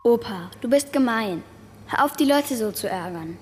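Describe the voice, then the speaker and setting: A woman's voice: fairly high pitch, lively intonation, normal speed, lightly acted. A female performer acting a worried young granddaughter in a radio drama, earnest and admonishing. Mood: worried, pleading. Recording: long reverberant hall, noisy ambience.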